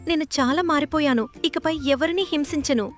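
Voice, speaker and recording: A woman's voice: sits high, a little fast, faint music behind, voice pushed up, natural voice. A woman voicing a sly, hungry cartoon fox that coaxes and pleads with feigned sorrow in a children's moral story. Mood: pleading, falsely sorrowful. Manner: pleading, coaxing.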